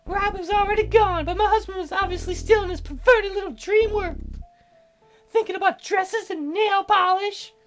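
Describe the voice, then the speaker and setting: A high, thin-pitched voice of a bitter, self-righteous wife put on by someone playing a visual novel. She speaks as a grieving woman with a cold grudge. Music plays behind her. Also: fairly even intonation, moderate pace, a bit bright. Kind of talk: visual novel character voicing.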